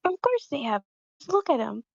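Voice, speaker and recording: A woman's voice: high-pitched, big pitch swings, a little fast, vocal fry, dry recording, heavily acted. A woman reading a scornful, self-righteous young creature schoolgirl in a screenplay table read, emphatic and dismissive. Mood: defensive, scornful. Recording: dull lo-fi call audio.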